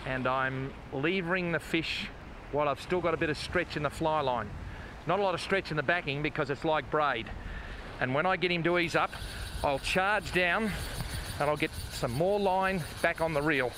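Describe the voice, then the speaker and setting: A man's voice: fairly high pitch, normal speed. An older Australian man hosting a fishing show, talking to viewers in a folksy, exclamatory way from a beach. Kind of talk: enthusiastic outdoor commentary. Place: outdoors on a sandy beach by the sea. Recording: outdoor wind and water noise.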